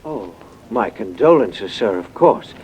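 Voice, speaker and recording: An actor playing an obsequious, hesitant funeral florist's clerk in a 1940s radio drama. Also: male voice, on the low side, animated, takes it slowly, darkish tone, husky, exaggerated voice. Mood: deferential, flustered. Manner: deferential, hesitant, fussy. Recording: dull old radio recording.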